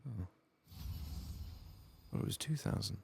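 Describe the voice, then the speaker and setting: A man doing soft-spoken, whispered ASMR game commentary in a hushed, breathy, gravelly voice, murmuring without hurry. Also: unhurried, slight background noise. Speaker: ASMR game playthrough host.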